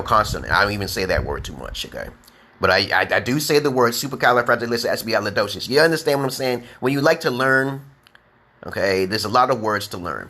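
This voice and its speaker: A man with a New York accent teaching beginner English on a live stream, speaking slowly and emphatically and checking in with "okay?". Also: on the low side, bright, forceful tone. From United States. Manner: drawn-out, emphatic, repeats letters and "okay?". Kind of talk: slow deliberate live-stream lesson.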